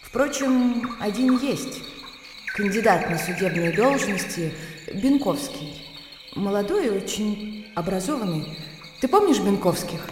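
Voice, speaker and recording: An actress playing a weary, self-pitying widowed sister in a radio play, speaking plaintively in bookish phrases. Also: female voice, mid-range voice, very animated, a little slow, roomy sound, in a large hall, music in the background. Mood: gossipy.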